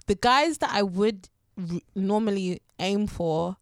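A woman's voice: medium pitch, takes it slowly, no room sound, studio quality. A woman in her twenties with a London accent, chatting casually in a candid, slangy way. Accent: London English. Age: 20s. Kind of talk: casual chat.

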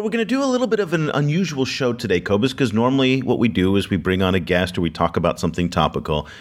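A man hosting a podcast, talking in a relaxed conversational way and telling stories with frequent fillers. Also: mid-range voice. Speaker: podcast host.

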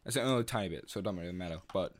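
A man's voice: deep voice, racing. A man, co-hosting a casual chat among friends on a headset, rambling and distracted. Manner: rambling, distracted, laughs a lot. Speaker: podcast co-host.

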